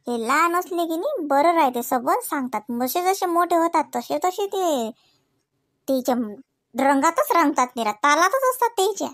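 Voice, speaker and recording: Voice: very high-pitched, very animated, moderate pace, fairly bright tone, dry recording, studio quality, heavily acted. A performer voicing a nosy, suspicious village aunt in a comedy cartoon, nagging with pointed questions in a rural Vidarbha accent. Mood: suspicious, brooding. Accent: rural Maharashtra, Vidarbha.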